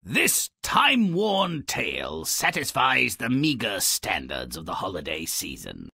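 A grubby, cynical imp narrating twisted fairy tales in a video game. The voice is raspy and harshly bright, drawn out at a slow, theatrical pace. The delivery is mocking and gleefully hammy. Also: male voice, medium pitch, no room sound, studio quality, exaggerated voice. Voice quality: gravelly, raspy.

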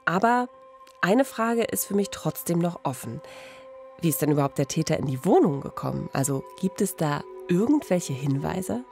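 A woman's voice: on the low side, very animated, moderate pace, creaky, darkish tone, soft background music. A woman in her thirties co-hosting a conversational true-crime podcast on a close studio mic. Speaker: podcast co-host. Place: small radio studio. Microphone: close studio mic on boom arm.

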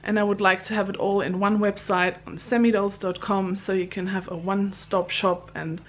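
A woman's voice: medium pitch, little pitch movement, normal speed, a little hiss or hum. A woman in her thirties chatting upbeat to a camera held at arm's length in a small home craft room, laughing between words. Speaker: vlogger. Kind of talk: casual vlog chat. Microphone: handheld camera at arm's length.

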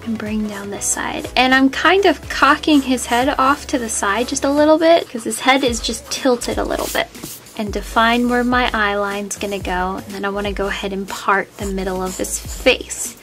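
A woman's voice: a little high, moderate pace, a bit bright, soft background music. A woman hosting a step-by-step drawing tutorial, friendly and easygoing, a little sniffly from a cold. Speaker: art tutorial host.

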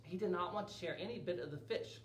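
A man in his forties telling a children's story in an expressive read-aloud style as a library storyteller. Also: high voice, quick pace, distant mic. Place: indoor library children's room. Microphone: camera a few meters away. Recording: roomy with steady background noise.

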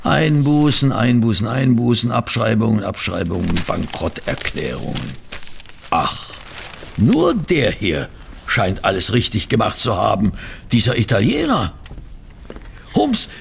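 Chatty Victorian gentleman, fussy and good-natured, wildly swooping intonation, gravelly creak, audio drama. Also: on the low side, wildly swooping pitch, measured pace, a little hiss or hum, full character voice. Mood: musing, eager. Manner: fussy, muttering to himself while reading. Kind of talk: German audio drama acting.

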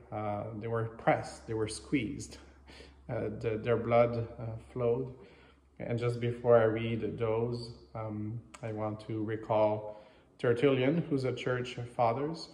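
French canadian priest guy, native french speaker, pretty flat delivery, halting homily, lots of reverb. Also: mid-range voice. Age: 30s to 40s. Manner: halting, reflective, with many pauses. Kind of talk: informal homily. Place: small chapel, indoors. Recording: echoey, reverberant chapel sound.

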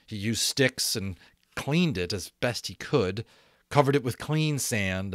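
Male narrator, 30s, lower register, dry close-mic sound, dramatic storybook delivery. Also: fairly bright tone, dry recording. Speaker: audiobook reader, voice actor. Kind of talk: expressive read-aloud narration of a novel. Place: small home room, indoors. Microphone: close desk microphone.

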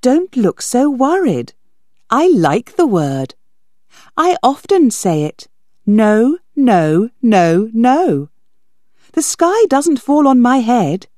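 A lively teenage girl who presses her point with rising, animated phrases. A woman reader gives her a higher pitch than the narration, in a close, dry recording. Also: takes it slowly, dry recording. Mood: playful. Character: mysterious lively teenage girl with grey eyes.

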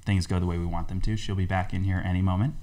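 A man's voice: sits low, flat delivery, fast talker, dark tone. A man in his thirties hosting a casual podcast, loose and friendly with frequent ums, recorded on a desk mic in a small studio. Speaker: podcast host. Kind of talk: casual interview chat. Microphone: desk mic on boom arm.

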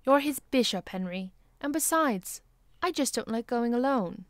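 A woman playing a kindly, long-suffering bishop's wife in an audio drama, gentle and polite. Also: on the high side, animated, moderate pace, no echo, studio quality, natural voice. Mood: pleading. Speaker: actor in a drama.

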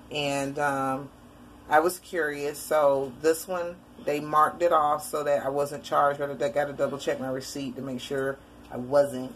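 Woman, 40s, low voice, rambly and chatty, home webcam vlog, faint hiss behind. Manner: chatty, rambling, lots of fillers. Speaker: vlogger. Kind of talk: casual grocery haul chat. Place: indoor room at home, shelves behind.

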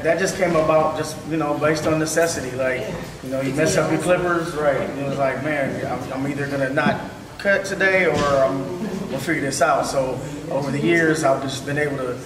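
A man's voice: mid-range voice, moderate pace, heavy background noise. A man in his thirties on a panel, speaking earnestly and conversationally about his barbering work, with many fillers. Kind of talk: panel discussion. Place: large hall with seated audience. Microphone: far camera. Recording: echoey hall from far off.

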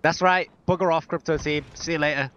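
A man's voice: on the high side, steady tone, brisk, a bit bright, muffled recording. A man on an esports team talking casually in game, in clipped phrases that he often repeats. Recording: game voice chat over gunfire. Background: gunfire and game sounds.

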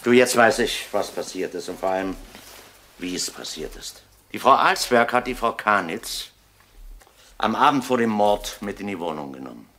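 An actor playing an insistent police inspector in a German crime drama, speaking in a commanding, deliberate way. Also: male voice, on the low side, lively intonation, bright, forceful tone. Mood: excited, insistent. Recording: noisy vintage crime drama soundtrack.

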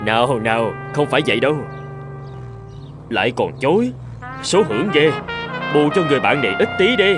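An actor playing a young, easygoing and polite male traveller in an animated cartoon, with expressive delivery. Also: medium pitch, normal speed, long pauses, close to an everyday voice. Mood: teasing. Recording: music behind.

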